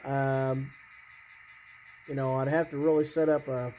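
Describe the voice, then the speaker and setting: A hobbyist presenter giving a casual, unscripted demonstration in a halting, rambling way, often clearing the throat. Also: mid-range voice, little pitch movement, warm tone, a little hiss or hum. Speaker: hobbyist presenter showing a model train layout.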